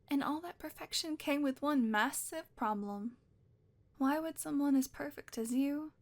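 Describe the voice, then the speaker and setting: A young woman voicing an obsessive, possessive witch in an audio roleplay, talking sweetly and coaxingly and often dropping to a whisper. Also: high voice. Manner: sweet, coaxing, softly controlling, slips into whispers.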